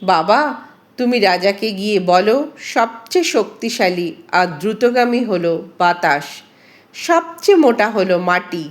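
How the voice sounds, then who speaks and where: Brief: woman plays wise seven-year-old village girl, calm and reassuring, slow, lilting storybook delivery. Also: mid-range voice, lightly acted. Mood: calm, confident. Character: clever, calm seven-year-old peasant girl comforting her father.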